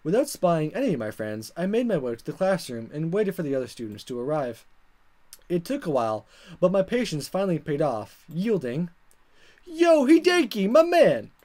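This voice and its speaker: A woman let's player reading a visual novel aloud in expressive narration with informal asides and stumbles over the script. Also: deep voice, a little fast. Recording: music behind the voice.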